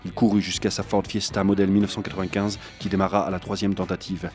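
A man's voice: low voice, flat delivery, quick pace, darkish tone, soft background music. A man reading a literary thriller as scripted narration. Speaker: audiobook narrator.

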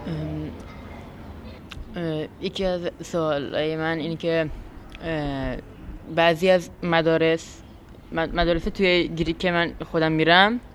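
A man's voice: fairly high pitch, level tone, very fast, fairly bright tone, a little hiss or hum. A teenage boy of about fifteen giving spontaneous testimony as a young refugee, often restarting his words. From Afghanistan.

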